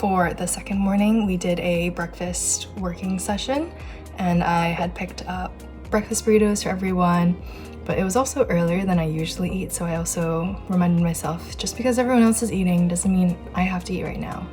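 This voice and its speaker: A woman's voice: fairly low pitch, moderate pace, a little mellow, soft background music. A young woman narrating her day in an upbeat, chatty vlog, talking straight to her viewers. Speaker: vlogger. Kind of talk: casual day-in-the-life narration.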